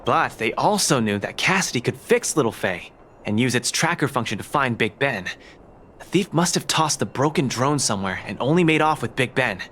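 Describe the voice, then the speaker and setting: Man playing a lively, cocky young fighter. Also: medium pitch, normal speed, slight background noise, natural voice. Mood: eager, casual. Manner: confident, breezy, eager. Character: cocky, upbeat young male champion fighter, good-hearted. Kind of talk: video game voice acting. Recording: game music under the voice.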